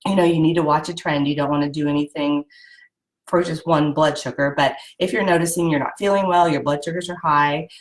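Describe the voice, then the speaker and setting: A woman's voice: deep voice, fairly even intonation, a little fast, rough recording. A woman with a head cold and a nasal voice, casually hosting a live video and explaining health tips with lots of ums. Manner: chatty, explanatory, frequent ums and "right?".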